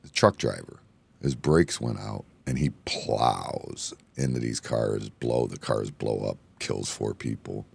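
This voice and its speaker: A hoarse man hosting a casual bar-talk show, rambling and excitable, often repeating himself. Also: rumbling low, takes it slowly. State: hoarse from yelling. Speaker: podcast host. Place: indoor room, bar setting.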